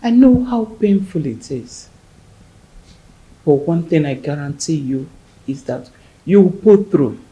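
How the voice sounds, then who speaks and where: A woman playing a widow who gently comforts a grieving friend in a soothing, motherly way. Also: low voice, animated, slow pace, pauses often, muffled recording. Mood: consoling. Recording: faint background noise.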